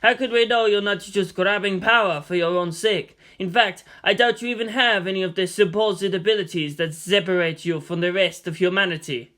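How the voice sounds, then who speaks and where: A woman's voice: medium pitch, moderate pace, few pauses, a bit bright, natural voice. A woman reading the part of an indignant male diplomat, heated and accusing. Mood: outraged.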